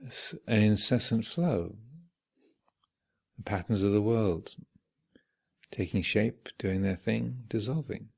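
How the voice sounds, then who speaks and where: A man with a low, gravelly voice guides a meditation at a very slow pace. He speaks in short, measured phrases and leaves long pauses between them. The delivery is contemplative and reflective throughout. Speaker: meditation teacher leading a guided session. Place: meditation retreat hall.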